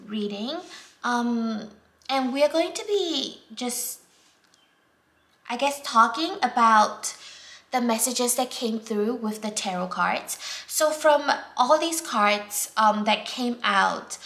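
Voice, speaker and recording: A woman from Singapore, doing a casual tarot reading in a gentle, unhurried way with many fillers, dropping to a near whisper as she draws cards. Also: fairly high pitch. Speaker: tarot reader. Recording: echoey room, faint background noise.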